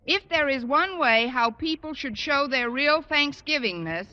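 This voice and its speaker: An American actress playing a wry, quick-witted single English teacher in a 1950s radio comedy, with dry, wisecracking delivery. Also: female voice, high-pitched, animated, pushed and bright, full character voice. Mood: sardonic. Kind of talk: 1950s radio sitcom acting. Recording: muffled old radio recording, hissy, with clicks.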